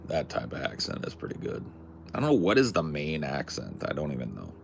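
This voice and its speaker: A man's voice: rumbling low, huge pitch swings, brisk, vocal fry, a little hiss or hum. A young man from California with a Californian accent, chatting casually in a rambling, jokey, crude way. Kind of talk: casual chat.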